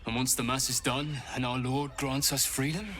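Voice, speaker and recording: An actor playing a bitter, arrogant, hungry vampire spawn in a video game, speaking with biting scorn. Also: male voice, mid-range voice, level tone, moderate pace, fairly bright tone, a little hiss or hum, natural voice. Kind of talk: dramatic video game voice acting.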